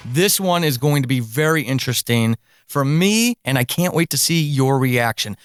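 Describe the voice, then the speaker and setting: A man's voice: medium pitch, lively intonation, normal speed, clear, resonant tone, no room sound, clean studio sound. A man hosting a podcast interview, speaking in an effusive, emphatic, enthusiastic way.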